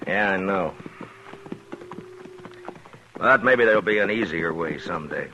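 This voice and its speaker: A man playing a steady, weary American frontier lawman, narrating in a measured, plain-spoken way in a 1950s radio western. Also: deep voice, a little hiss or hum, full character voice. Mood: calm, reflective. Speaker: lead actor and narrator. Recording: muffled old radio recording with music.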